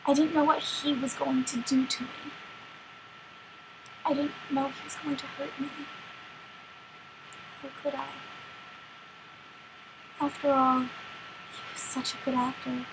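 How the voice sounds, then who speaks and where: A woman's voice: high voice, flat delivery, unhurried, long pauses, noisy background. A woman playing a naive teenage girl from a fairy tale in a confiding, wry, wounded dramatic monologue. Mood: hurt, bitter. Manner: conversational, rhetorical questions, wry.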